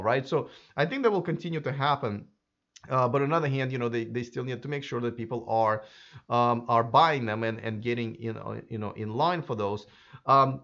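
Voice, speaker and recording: Hoarse man chatting about cars. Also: mid-range voice, a bit bright, lo-fi sound. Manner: chatty, speculative, many fillers and restarts. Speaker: YouTube electric car channel host. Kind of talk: casual solo commentary to camera.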